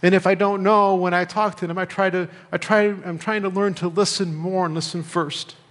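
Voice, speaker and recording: Man, American accent, middle-aged, pastor's sermon, deliberate phrasing, long room reverb. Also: high-pitched, steady tone, a bit bright. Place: church sanctuary, indoors at a lectern. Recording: reverberant church hall.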